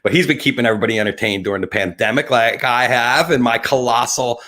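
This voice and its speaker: A man with a New Jersey accent hosting a live webcast, improvising a rambling, tongue-in-cheek intro in an animated, scattered, stop-and-start way. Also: mid-range voice, quick pace.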